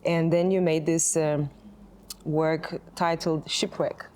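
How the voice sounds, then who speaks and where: A woman's voice: low voice, little pitch movement, slow, a little mellow, a little hiss or hum. A woman interviewing an artist, searching for words as she asks questions.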